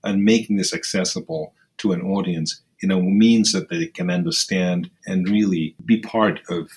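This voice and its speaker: Male doctor guest, low register, articulate and considered interview delivery. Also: darkish tone. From Kenya. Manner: measured, formal, articulate phrasing. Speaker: surgeon, podcast guest. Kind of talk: thoughtful interview answers.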